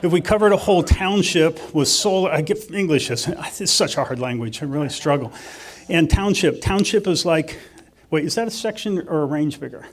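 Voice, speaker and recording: A man's voice: a little high, expressive, moderate pace. A man presenting on farm economics at a conference, talking in a conversational way, thinking aloud and joking dryly at his own expense. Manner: conversational, dry self-deprecating humor, thinks aloud.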